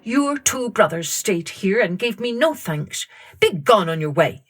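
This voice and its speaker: A woman's voice: medium pitch, sing-song, unhurried, soft background music. A woman voicing a poor peasant farmer, wary but kind, with a firm, blunt manner in a children's tale.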